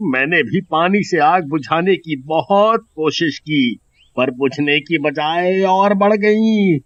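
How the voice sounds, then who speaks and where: A man playing a frightened, pleading village merchant in a cartoon moral story, dramatic in delivery. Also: fairly high pitch. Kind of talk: animated Hindi moral story acting. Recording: dull lo-fi audio.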